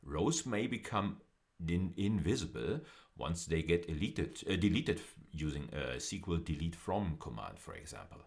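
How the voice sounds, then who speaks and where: Male university lecturer, low-pitched voice, deliberate explanatory delivery over slides, restating key phrases. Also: very animated, gravelly. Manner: deliberate, repeats and rephrases phrases for emphasis.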